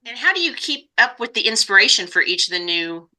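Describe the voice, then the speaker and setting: A woman's voice: fairly high pitch, sing-song, brisk, bright, forceful tone, low-quality recording. A woman hosting a podcast, talking conversationally as she interviews a guest. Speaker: podcast host.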